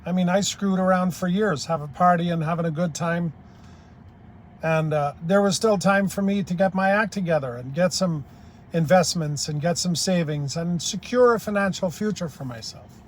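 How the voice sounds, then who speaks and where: A man's voice: high-pitched, normal speed, slight background noise. An older man from Ireland giving a blunt, plain-spoken, rambling opinion monologue as a YouTube commentator.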